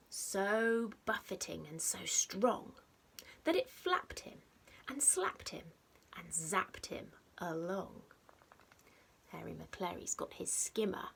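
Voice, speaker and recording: A woman reads a children's storybook in a highly animated voice that swoops up and down. She takes her time, leaving dramatic pauses and leaning on the rhymes. The tone is breathy and soft-edged. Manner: expressive, dramatic pauses, stresses rhymes and sound words; simple explanatory asides addressed to young children. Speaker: teacher reading a picture book to children. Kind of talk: animated storybook read-aloud.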